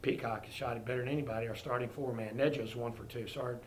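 A man's voice: medium pitch, steady tone, fast. A middle-aged man, a head basketball coach, speaking bluntly at a postgame press conference, disappointed and often restarting and repeating himself. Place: press conference room before a sponsor backdrop.

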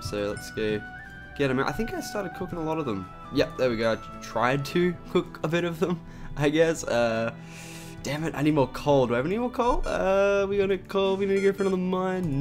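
Young Australian guy commentating a game, raspy morning voice, rambling and chatty, faint guitar music behind. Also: mid-range voice. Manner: chatty, rambling with many "like" and "um" fillers. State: raspy from just waking up. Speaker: gaming YouTuber. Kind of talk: casual gameplay commentary.

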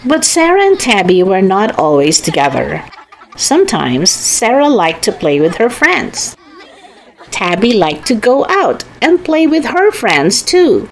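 A woman reading a children's storybook aloud, with careful, expressive enunciation. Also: medium pitch, measured pace, rough recording. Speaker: storybook reader. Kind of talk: children's read-aloud narration.

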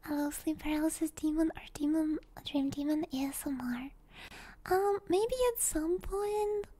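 Soft, high-pitched whispering woman. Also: moderate pace. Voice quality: breathy, mostly whispered. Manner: soft-spoken, relaxed, rambling. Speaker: ASMR livestreamer. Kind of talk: ASMR casual chat with livestream viewers. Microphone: close binaural ASMR microphone.

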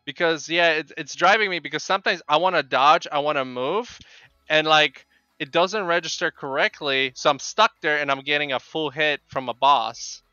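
A middle-aged man with a Polish accent chats casually with viewers while gaming, in a halting way that often repeats words. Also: on the high side, sharp tone, smooth, no echo. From Poland. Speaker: game streamer. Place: small home room with bookshelves. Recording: dull, lo-fi microphone sound.

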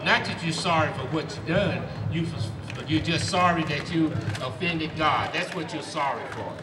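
A man's voice: a little high, normal speed, over music. A man emphatically preaching a short sermon at an outdoor community event, calling out for amens. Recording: distant echoey outdoor PA with loud traffic noise.

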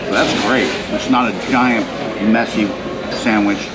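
A middle-aged man reviewing diner food in a relaxed, casual way, sometimes talking with his mouth full. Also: fairly low pitch, measured pace, very noisy. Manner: relaxed, talks while chewing. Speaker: food vlogger. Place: diner booth indoors. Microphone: handheld camera across the table.

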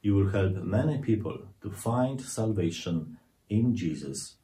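A middle-aged male priest gives a homily in a fairly low voice. He speaks very slowly and deliberately, with an earnest, measured delivery, as if reading a prepared sermon to camera. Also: expressive, dark tone.

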